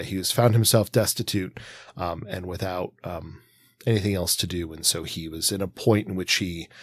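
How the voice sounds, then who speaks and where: Low-voiced man, halting informal explainer. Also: no room sound. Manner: halting, hesitant, frequent ums and pauses. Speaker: podcast co-host.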